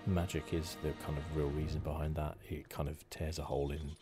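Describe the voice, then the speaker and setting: A man giving casual ASMR commentary on a game playthrough in a hushed, rambling, musing manner. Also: extremely low pitch, little pitch movement, a little fast, music in the background. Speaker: gaming video host.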